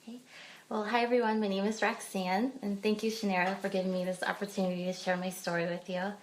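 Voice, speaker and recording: A woman from Pennsylvania, a podcast guest sharing her personal story in a hesitant way, with frequent pauses and fillers. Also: medium pitch, flat delivery, a little hiss or hum, low-quality recording. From Pennsylvania, United States. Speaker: guest speaker. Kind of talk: personal testimony in a podcast interview.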